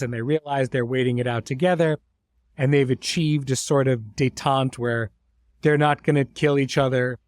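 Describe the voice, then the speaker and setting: A man's voice: mid-range voice, darkish tone, dry recording. A man talking reflectively as a series creator in a behind-the-scenes podcast, explaining things articulately with vivid imagery.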